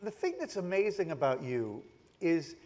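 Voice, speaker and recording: A man hosting a conference stage interview, introducing his guest warmly and guiding the talk with long questions. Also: on the high side, big pitch swings, normal speed. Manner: upbeat, effusive, guiding questions. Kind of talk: onstage interview. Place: conference stage with a live audience.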